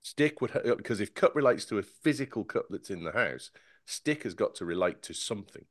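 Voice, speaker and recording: Male panelist, low-pitched, dry close mic, sarcastic and animated. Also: a little fast, no room sound. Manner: animated, sarcastic, stumbling restarts. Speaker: podcast panelist. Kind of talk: casual panel chat.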